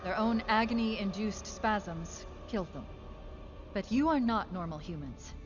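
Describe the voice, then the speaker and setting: A woman's voice: medium pitch, faint music behind, close to an everyday voice. Woman acting composed, clinical military scientist, dull sound. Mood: clinical, authoritative. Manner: measured, precise, authoritative. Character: composed, clinical female military scientist briefing her soldiers. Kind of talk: animated sci-fi film acting.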